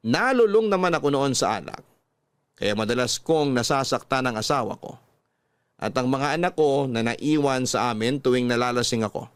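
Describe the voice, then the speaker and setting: A man's voice: mid-range voice, lively intonation, moderate pace, smooth, no echo. A Filipino man with a scripted, dramatic storytelling delivery, hosting and reading a listener's letter as narrator. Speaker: radio drama host and narrator. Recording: dry studio voice over background music. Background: music.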